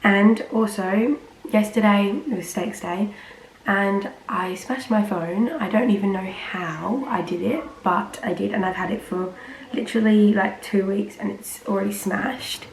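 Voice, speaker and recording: A teenage girl, slightly croaky from a cold, rambling casually to camera with lots of fillers. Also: medium pitch, fairly even intonation, unhurried. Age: teens. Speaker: vlogger. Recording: roomy with faint ambient noise.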